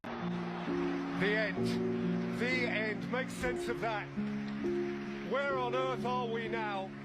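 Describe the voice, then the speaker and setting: A male sports commentator with a very high voice delivers a sweeping, poetic summing-up of a match, drawing out every phrase very slowly. Loud guitar music plays under him, as on a broadcast highlights clip. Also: fairly even intonation. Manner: slow, grand, poetic delivery.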